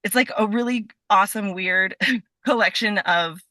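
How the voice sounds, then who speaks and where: Female podcast guest, chatty and keen, bright delivery, very dry sound, informal "like"-heavy talk. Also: medium pitch, moderate pace, no echo. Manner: chatty, enthusiastic, heavy use of "like". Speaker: podcast guest, stage performer and voice actor. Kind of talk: casual interview chat.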